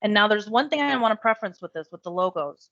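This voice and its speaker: A woman giving a chatty step-by-step software tutorial while losing her voice. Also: mid-range voice, lively intonation, fast, vocal fry, dry recording, highs cut off. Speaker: real estate software trainer. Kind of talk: screen-share software tutorial.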